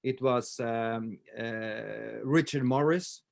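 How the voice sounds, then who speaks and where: A man in his fifties with a Swedish accent, talking in a casual interview with many pauses, fillers and restarts. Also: medium pitch, creaky. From Sweden. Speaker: martial arts instructor, guest.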